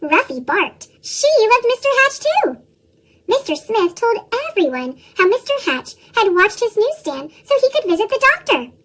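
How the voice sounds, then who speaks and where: A woman's voice: very animated, normal speed, a bit bright. A woman reading children's storybooks aloud expressively, her voice shifted up with a chipmunk effect. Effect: chipmunk-style pitched-up voice. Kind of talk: expressive read-aloud narration.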